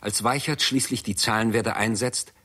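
A man narrating a 1960s German radio drama in a measured, formal, matter-of-fact way. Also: lowish voice, steady tone, pushed and bright. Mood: measured, factual. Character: sober male narrator.

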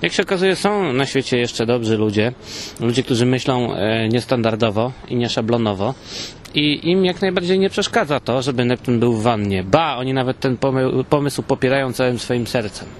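A man's voice: medium pitch, normal speed, slight background noise. A man with a Polish accent doing playful vox-pop interviews outdoors as a co-host, in a mock-serious manner. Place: outdoors on a city street. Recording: outdoor urban street recording.

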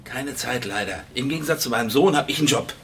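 An actor playing an arrogant, blustering middle-aged banker in a radio crime drama, brusque and stammering when pressed. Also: male voice, medium pitch, lively intonation, fast talker, a bit bright, a little hiss or hum. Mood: contemptuous, hurried.

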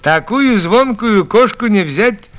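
A man's voice: high voice, animated, slow, a bit bright, full character voice. A man voicing a kindly, cheerful old village hunter in a storybook tale, with a folksy, playful, plain-spoken manner. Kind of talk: storybook fairy tale reading.

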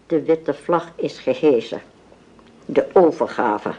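A woman's voice: low voice, animated, takes it slowly, mellow, breathy edge, full character voice. An actress playing a cold, proud older mother, severe and accusing, in a hissy old radio drama. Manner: severe, blunt, disapproving.

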